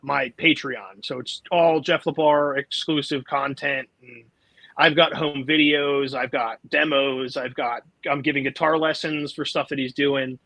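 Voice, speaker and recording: A man's voice: mid-range voice, steady tone, measured pace, creaky, fairly bright tone, no echo, low-quality recording. A man from the United States speaking as a musician guest in a casual interview, easygoing and agreeable.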